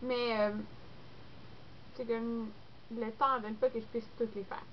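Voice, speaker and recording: Young québécois girl with a cold, stuffy nose, rambly vlog chat, kinda high voice, dull lo-fi sound. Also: little pitch movement, takes it slowly. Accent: Québécois French. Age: young adult. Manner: chatty, rambling, lots of fillers. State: congested with a cold. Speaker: beauty vlogger. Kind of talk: casual chat to camera. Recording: dull lo-fi recording with faint noise.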